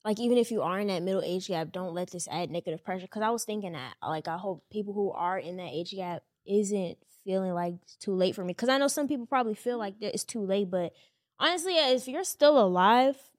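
A woman with a lively, swinging pitch, reassuring listeners in a thoughtful way on a casual podcast. Her voice is dry and close on the mic. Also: a little fast, no room sound. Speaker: podcast co-host.

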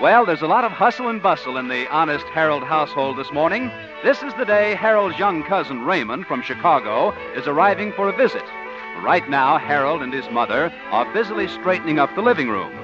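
A man's voice: a little high, very animated, a little fast, over music. A man announcing and narrating a scripted 1950 radio show. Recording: muffled old radio recording.

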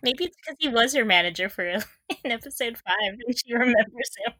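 A woman's voice: on the high side, expressive, a little fast, pushed and bright, dry recording. A woman co-hosting a podcast in a casual fan chat, often laughing through her sentences. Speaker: podcast co-host.

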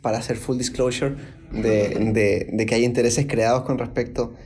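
A man's voice: mid-range voice, steady tone, normal speed, a little mellow. A man hosting a podcast interview, asking eager questions and often tripping over repeated words. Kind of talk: conversational interview.

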